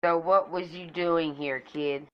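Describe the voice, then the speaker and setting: A woman's voice: low voice, fairly even intonation, takes it slowly, muffled recording, a little hiss or hum. A woman playing a guarded, defiant vigilante heroine in an amateur superhero film, firm and serious. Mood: subdued. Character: defiant, guarded female vigilante hero with a past.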